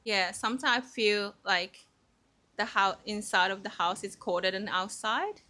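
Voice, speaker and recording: A woman from Japan with a Japanese accent, answering interview questions casually and haltingly as she searches for words in her second language. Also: mid-range voice, pushed and bright. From Kobe, Japan. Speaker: interview guest.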